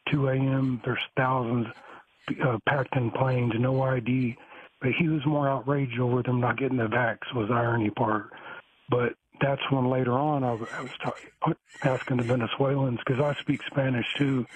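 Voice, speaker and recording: A man calling into a talk show, rambling with many halting restarts and fillers. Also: mid-range voice, husky, dry recording. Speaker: caller. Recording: tinny phone line.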